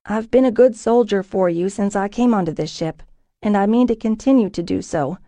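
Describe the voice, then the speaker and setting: A woman's voice: medium pitch, normal speed, mellow, dry recording, lightly acted. A woman voicing a defiant young woman soldier who answers back in tense retorts.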